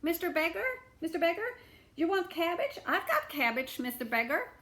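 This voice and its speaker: A woman voicing eager, pleading storybook townsfolk, calling out with excited insistence. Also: very high-pitched, moderate pace, pitched above the performer's own voice, full character voice. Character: eager, pleading townsfolk offering food to a beggar.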